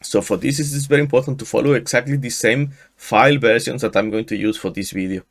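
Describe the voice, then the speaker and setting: Mexican-accented man giving a software tutorial. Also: medium pitch. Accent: Mexican Spanish. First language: Spanish. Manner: halting, frequent restarts and fillers. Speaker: tutorial host. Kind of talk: screen-share software tutorial. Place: small room indoors. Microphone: headset.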